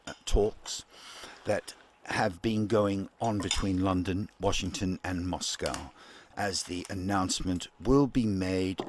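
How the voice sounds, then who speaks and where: A man playing a solemn 1940s radio newsreader, reading a scripted bulletin in a formal, measured, deliberate manner. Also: fairly low pitch, noisy background.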